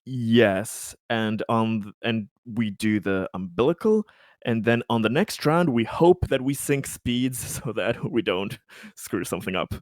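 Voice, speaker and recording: A man's voice: lowish voice, a little slow, no room sound, studio quality. A man playing a tabletop game, thinking aloud in a casual, tentative way.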